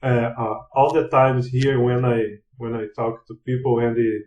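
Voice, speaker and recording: A Brazilian man with a Brazilian Portuguese accent, speaking halting English with many fillers in a casual practice chat over a video call. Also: mid-range voice, little pitch movement. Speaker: channel host, English learner. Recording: roomy, dull lo-fi sound.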